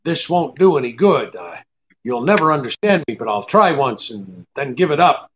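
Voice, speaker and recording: An actor playing a cynical, unyielding private detective in a 1940s-style radio drama, with terse, halting tough talk. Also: male voice, mid-range voice, big pitch swings, fairly bright tone, muffled recording, slight background noise. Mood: grimly resolved.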